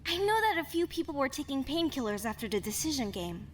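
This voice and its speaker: A very high-pitched young woman, played by a woman, hesitant and conciliatory, like a cartoon character trying to keep the peace. There is faint noise in the background. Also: animated, fast. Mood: tentative, peacemaking. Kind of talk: animated murder-mystery voice acting.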